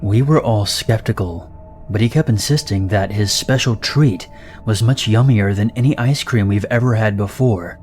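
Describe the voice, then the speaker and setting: Horror narrator, male, lowish voice, steady delivery, music bed under the voice. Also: normal speed. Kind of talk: scripted narration of reader-submitted stories. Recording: narration over loud background music.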